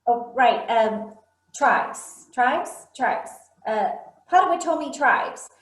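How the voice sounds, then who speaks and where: Woman as a fussy, stammering meeting chair; high voice, echoey room. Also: expressive, slow. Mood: flustered. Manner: hesitant, stammering. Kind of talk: staged play reading over video call. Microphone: video call.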